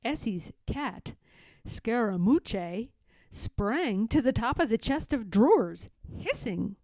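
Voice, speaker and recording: A woman narrating a children's storybook aloud in an expressive, deliberate way. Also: fairly high pitch, dry recording.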